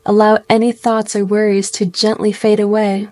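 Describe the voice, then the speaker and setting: A woman guiding a meditation in a gentle, soothing way, speaking in slow phrases with pauses. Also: mid-range voice, level tone, no room sound. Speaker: meditation guide. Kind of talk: guided meditation narration.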